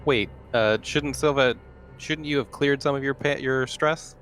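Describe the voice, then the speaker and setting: A man's voice: mid-range voice, a little slow, a bit bright. A man speaking casually as a player in a tabletop role-playing game. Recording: music playing behind the voice.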